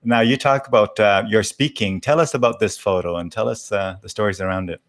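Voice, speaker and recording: A man's voice: fairly low pitch, fairly quick. A man hosting a casual, reflective interview, speaking in a hesitant, rambling way. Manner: hesitant, rambling, reflective, with frequent murmured acknowledgments. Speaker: interview host.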